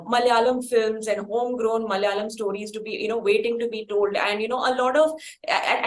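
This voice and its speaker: A woman with an Indian accent hosting an interview, asking long, wordy questions with frequent hedges. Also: on the high side. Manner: wordy, hedging with frequent "you know". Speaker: interviewer, podcast host.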